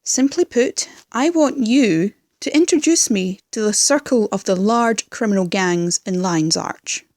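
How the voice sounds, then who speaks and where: Actress as female crime boss, unhurried pace, domineering, sardonic, scheming, dry close recording, audio drama. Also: mid-range voice, expressive, a little mellow, dry recording, lightly acted. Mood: exasperated, commanding. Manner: slow, commanding, sardonic. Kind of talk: fan audio drama acting.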